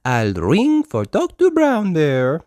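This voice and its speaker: A fussy female gazelle schoolteacher put on by a storybook narrator speaks in a raised pitch. Her motherly phrases are drawn out and exclaimed with big swoops. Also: huge pitch swings, darkish tone, dry recording, clean studio sound. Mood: reassuring. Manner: high, exclaiming, drawn-out delivery.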